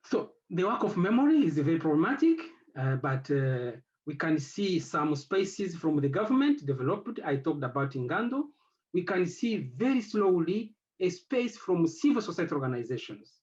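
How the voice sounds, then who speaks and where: A man whose first language is French speaks on an academic panel. His voice sits a little high and moves a lot in pitch. He pauses and searches for words, and the recording sounds dull and lo-fi. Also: very animated, darkish tone. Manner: halting, searching for words with many fillers. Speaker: head of an institute.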